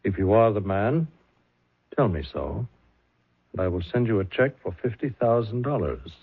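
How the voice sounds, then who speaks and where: Courteous male writer, genteel, musing and measured, lower register, vintage radio drama with muffled sound. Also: lively intonation, slightly rough, dry recording. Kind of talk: old-time radio drama acting.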